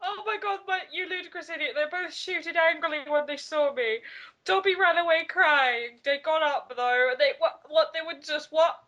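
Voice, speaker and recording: A woman's voice: high voice, moderate pace, bright, forceful tone, lo-fi sound. A young woman reading aloud in a mocking group game, giggly and openly incredulous as she banters. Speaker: player in a comedic dramatic reading game.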